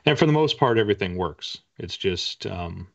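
A middle-aged man from Canada talks in a fairly low voice, like an author in a relaxed interview. His manner is conversational and self-deprecating. The video-call audio is a little muffled. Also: moderate pace, creaky. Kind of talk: casual interview chat. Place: book-lined room indoors, video call.

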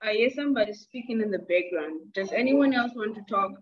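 A woman's voice: medium pitch, animated, fairly quick. A woman in her twenties hosting an online meeting from a small room through earbuds, chatty and playful. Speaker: meeting host. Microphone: earbuds on a video call. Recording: dull lo-fi call audio.

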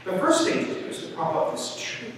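A man voicing a huge, gentle, faithful male elephant with an earnest, deliberate storybook delivery. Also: close to an everyday voice. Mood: resigned, earnest. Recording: very echoey hall, distant, faint noise.

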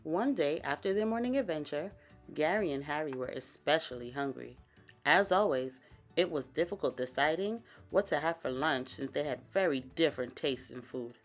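A woman storyteller reading a children's picture book aloud with expressive, dramatic emphasis. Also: low-pitched, normal speed, soft background music.

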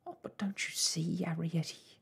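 A storyteller voicing a fretful, guilt-ridden mother in a children's storybook, breathy and quavering, with a folksy, lamenting manner. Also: mid-range voice, expressive, moderate pace, darkish tone, voice pushed up, exaggerated voice. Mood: fearful, sorrowful. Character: fretful, guilt-ridden mother of a tiny family, tearful scolder.

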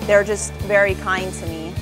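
A woman's voice: medium pitch, fairly even intonation, normal speed, rough recording. A young woman from Texas giving an interview testimonial as a law graduate. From Texas, USA. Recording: music behind the voice.

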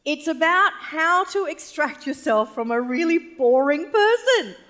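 An Australian woman giving a chatty, animated keynote speech to a live audience on a large stage. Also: high voice, measured pace. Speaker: keynote speaker. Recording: reverberant event hall.

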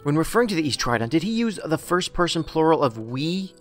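An actor playing an analytical, pedantic federal investigator in an audio drama, with precise, clipped, probing speech. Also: male voice, on the high side, sing-song, fairly quick, soft background music. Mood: probing, detached.

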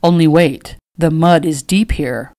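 A gruff young nobleman from a romantic storybook , acted by a woman reader. His voice sits low, and he talks in a wry, grumbling, teasing way. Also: a little slow, mellow, no echo, studio quality. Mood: commanding.

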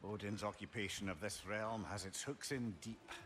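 A man's voice: on the low side, fairly even intonation, normal speed, a bit bright, a little hiss or hum, lightly acted. An actor playing a wry, skeptical male companion in a video game. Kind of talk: game voice acting. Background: game music.

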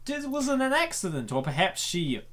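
Excitable host reading a comic out loud, very animated, dramatic, repeats stuff. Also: medium pitch, fairly quick. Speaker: host of comic dub show. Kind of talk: reacting to and reading a web comic aloud.

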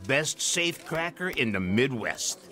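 A man's voice: medium pitch, very animated, slow, pushed and bright, full character voice. An actor playing a pushy streetwise crook in a radio crime drama, with clipped, slangy wise-guy talk. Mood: persuasive. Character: brash male crook, pushy streetwise recruiter of criminals.

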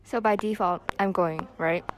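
A woman's voice: fairly low pitch, steady tone, normal speed, close to an everyday voice. A young teenage girl playing a tough, blunt zombie survivor in an audio drama. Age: young teen. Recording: amateur recording with faint noise.

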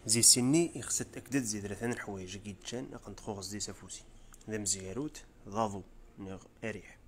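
Riffian man, lowish voice, quick informal explainer narration, tutorial voice-over. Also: slight background noise. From Rif region of Morocco. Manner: brisk, informal, step-by-step explaining. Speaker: tutorial presenter. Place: voice-over, not the pictured scenes.

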